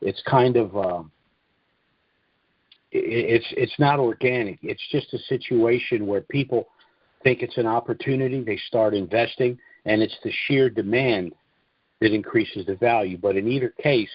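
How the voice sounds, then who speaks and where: A man's voice: medium pitch, moderate pace, slightly rough, no echo. A man hosting an investor conference call, giving an informal monologue briefing in a plain-spoken, opinionated, explanatory manner. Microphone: conference call line. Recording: dull, lo-fi conference call audio.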